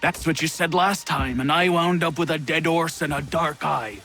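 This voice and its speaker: An actor playing a menacing, rough ruffian who taunts his target in a video game. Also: a little high, normal speed, a bit bright. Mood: complaining. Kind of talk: video game dialogue acting.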